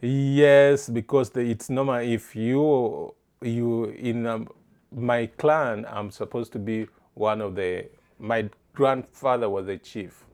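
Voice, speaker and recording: A middle-aged man from East Africa answering interview questions in a halting way, restarting phrases as he searches for words. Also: mid-range voice, fairly even intonation, darkish tone.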